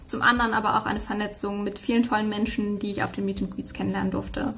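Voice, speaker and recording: Woman, late twenties, prepared self-introduction, creaky voice, light background music. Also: medium pitch. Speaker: scholarship student.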